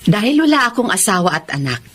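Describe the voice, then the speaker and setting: A woman's voice: medium pitch, very animated, fast talker, a bit bright, slight background noise. An actress playing a wealthy, childless lady devoted to her pet in a Filipino radio drama, emotive and emphatic, with a Filipino accent. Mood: grateful, tender.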